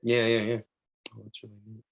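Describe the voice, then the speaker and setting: A man's voice: lowish voice, completely flat delivery, brisk, gravelly. A man hosting a podcast interview, enthusiastic and laughing often in casual chat. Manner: enthusiastic, laughs often, says "super cool". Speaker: podcast host.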